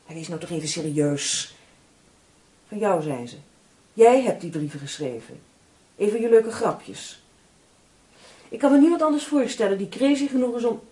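Sceptical female crime novelist in radio drama; husky, animated, bossy, no patience for nonsense. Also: medium pitch. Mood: suspicious, agitated. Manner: dismissive, bossy, brisk. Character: sharp, sceptical woman co-writing crime novels with her partner.

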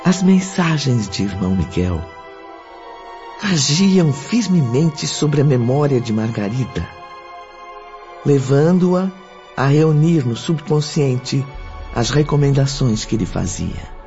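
Man acting a slow, mellow, solemn storyteller. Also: mid-range voice, expressive. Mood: solemn, gentle. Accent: Brazilian. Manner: formal, deliberate. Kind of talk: scripted radio drama narration. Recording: dull audio with music bed.

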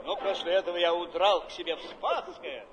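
An actor playing an aging gentleman writer who reminisces with expansive theatrical flourish, recorded in a reverberant hall. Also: high-pitched, animated, a little fast, dull audio. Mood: animated, courtly. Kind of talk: theatrical stage acting. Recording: reverberant hall, faint background noise.

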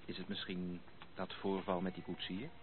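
An actor playing a courteous, earnest young gentleman in a Dutch radio play, formal and politely insistent. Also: sits low, completely flat delivery, moderate pace, lightly acted. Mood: earnest, coaxing. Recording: noisy, thin phone-like old radio recording.

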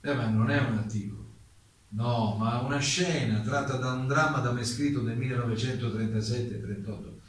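A man playing a careful, courteous playwright giving testimony, speaking deliberately and politely in a theatrical radio drama. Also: medium pitch, steady tone, natural voice. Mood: careful, evasive. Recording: echoey room, distant mic, faint noise.

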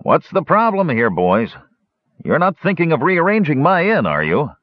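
Gruff old dwarf barkeep, guy putting it on, higher voice, lively, dull dry recording. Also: normal speed, no echo. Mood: stern. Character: gray-haired old male dwarf innkeeper, firm.

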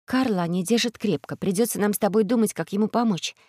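A woman voicing a sensible, brave young girl with a quiet, matter-of-fact manner in a children's story. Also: medium pitch, fast talker, slightly rough, no room sound, clean studio sound, natural voice. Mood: thoughtful, practical.

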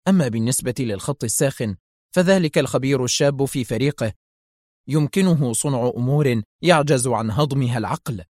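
A man's voice: mid-range voice, a little fast, no room sound, clean studio sound, lightly acted. A man voicing an insistent, suspicious general in a story, emphatic and urgent. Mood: firm, convinced. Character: excitable, insistent male general, suspicious and forceful. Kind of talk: dramatised audio story dialogue.

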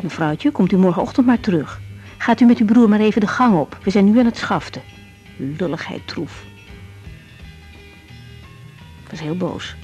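A woman's voice: medium pitch, mellow, highs cut off, close to an everyday voice. An actress playing a tired, caring young woman doctor in a naturalistic radio drama, plain and matter-of-fact. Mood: reflective, weary. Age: young adult.

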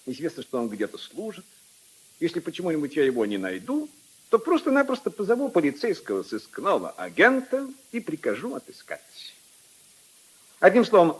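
An actor playing a haughty, indignant aristocratic prosecutor in a pompous, emphatic 1950s radio drama style. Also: male voice, a little high, slightly rough, rough recording. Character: haughty, indignant aristocratic assistant prosecutor, brother-in-law of a prince. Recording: 1950s archive radio recording.

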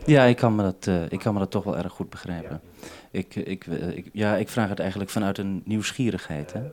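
A man's voice: low voice, a little fast, darkish tone. A man hosting an unscripted two-way radio conversation, speaking hesitantly and often interrupting himself. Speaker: radio programme maker and host.

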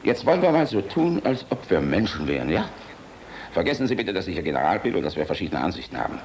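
A man's voice: deep voice, sing-song, fast, a little mellow, slight background noise. An actor playing a boisterous, cynical, fatherly middle-aged general in a German radio play, hearty and teasing, commanding one moment and confiding the next. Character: boisterous, jovial, cynical Luftwaffe general, fatherly toward young officers.

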